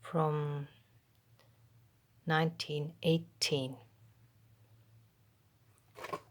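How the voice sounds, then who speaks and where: A Finnish woman with a Finnish accent, whose first language is Finnish, quietly showing a handmade journal page by page, half-whispering with pauses and ums. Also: deep voice. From Finland. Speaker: craft channel host. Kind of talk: soft show-and-tell of a handmade journal.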